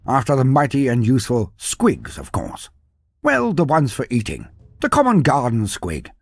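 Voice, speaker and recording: A man's voice: mid-range voice, slightly rough, dry recording. A man delivering dramatic scripted fantasy narration in a grandiose, wry manner with ornate old-fashioned phrasing. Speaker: storyteller, narrator of fantasy war lore.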